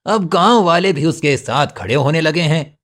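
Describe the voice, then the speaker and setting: A man voicing a calm village headman. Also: on the high side. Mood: persuasive. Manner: measured, reasoning. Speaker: voice actor.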